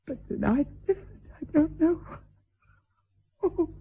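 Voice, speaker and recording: A woman's voice: high voice, lively intonation, a little slow, gravelly, exaggerated voice. An actress playing a middle-aged, vulgar, hard-drinking lady mistress in a radio drama, declamatory, vain and fearful, lurching between coarse bluntness and maudlin grandeur. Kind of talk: theatrical radio drama acting. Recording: thin, phone-like band-limited radio drama audio.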